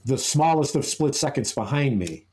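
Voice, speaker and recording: A man chatting as a podcast guest, rambling and playful, halting and restarting as he tells stories. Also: mid-range voice, lively intonation, a little mellow. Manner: playful, slips into silly put-on voices. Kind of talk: casual chat.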